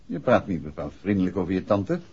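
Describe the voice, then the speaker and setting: A man playing a patient, middle-aged gentleman detective who questions in a measured, coaxing way in a 1960s radio play. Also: low voice, sing-song, slightly rough, exaggerated voice. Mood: gently suggestive. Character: probing, gentlemanly male detective, patient and suave. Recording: dull 1960s radio drama recording.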